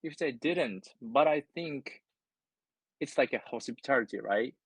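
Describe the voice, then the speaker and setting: A man from Japan with a Japanese accent, chatting casually as an English learner, halting and repeating words as he searches for phrasing. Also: mid-range voice, expressive, breathy edge, dry recording. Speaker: English learner in an online lesson. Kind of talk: casual conversation practice in English.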